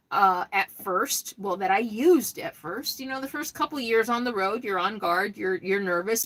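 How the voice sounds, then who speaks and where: A middle-aged woman chatting casually on a live stream, answering viewers' questions in a rambling way with lots of restarts. She has a loud voice that carries well. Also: mid-range voice, low-quality recording.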